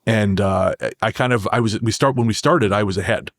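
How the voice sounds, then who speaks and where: Low-voiced man in a dry recording. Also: brisk, no echo. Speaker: podcast guest, horror fiction writer. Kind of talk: conversational interview talk.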